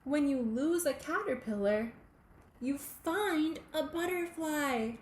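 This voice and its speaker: Slow, instructive guinea pig café owner read by a woman. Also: high voice, animated, voice pushed up. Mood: gently explaining. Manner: instructive, deliberate, short clear pronouncements. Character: knowledgeable male guinea pig café owner, helpful adviser. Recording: echoey room with faint background noise.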